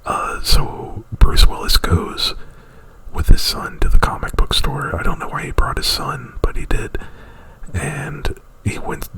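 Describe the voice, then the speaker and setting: A man whispering an ASMR retelling of a movie plot right up at the mic, in a halting, rambling, informal way. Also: mid-range voice, huge pitch swings, pushed and bright, poor audio. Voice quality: breathy whisper. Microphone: very close to the mic.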